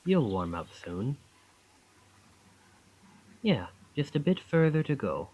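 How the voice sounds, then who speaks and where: A man's voice: medium pitch, very animated, slow pace, a little mellow, dull audio. A man playing a charming young college student who is secretly a possessive, otherworldly captor, in an intimate, coaxing ASMR roleplay. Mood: friendly, coaxing.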